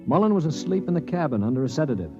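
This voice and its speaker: An actor playing a cynical, weak-handed captive aboard a spaceship in a 1950s radio drama, with dramatic delivery. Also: male voice, a little high, big pitch swings, racing. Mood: reflective. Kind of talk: 1950s radio drama acting with first-person narration. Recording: muffled 1950s radio recording with music.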